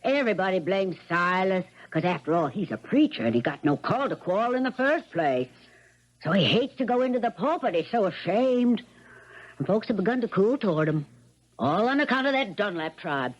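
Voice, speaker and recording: Worried older aunt, gossipy country talk, 1970s radio drama, dull recording. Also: female voice, mid-range voice, very animated, moderate pace. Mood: fretful.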